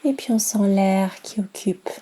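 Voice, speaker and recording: A woman guiding a yoga nidra meditation in a soft, soothing, unhurried manner with long pauses. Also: mid-range voice, a little hiss or hum. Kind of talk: guided meditation.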